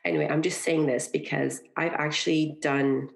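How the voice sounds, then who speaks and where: A woman from Egypt, a guest on a podcast, talking earnestly and reflectively in a relaxed interview, often adding "you know" and "right". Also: deep voice, moderate pace, vocal fry. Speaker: podcast guest, parenting coach. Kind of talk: conversational interview.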